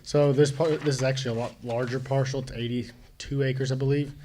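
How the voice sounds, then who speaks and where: A man's voice: mid-range voice, fairly even intonation, a little fast, warm tone, slight background noise. A young man speaking plainly and casually as an applicant at a public hearing. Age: young adult. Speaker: applicant buying land.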